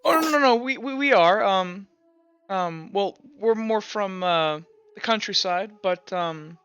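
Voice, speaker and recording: A nervous young noble girl studying at a temple, as a man puts her on, stumbling over her words. The voice is bright and smooth, recorded dry on a slightly dull mic. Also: sits high, animated, measured pace, no echo, exaggerated voice. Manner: stammering, hesitant.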